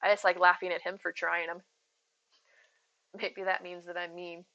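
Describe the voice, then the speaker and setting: Bright-voiced woman chatting on stream. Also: fairly low pitch, flat delivery, normal speed, creaky, muffled recording. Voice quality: soothing voice. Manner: chatty, rambling, giggly, addresses chat by name. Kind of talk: casual live-stream chat.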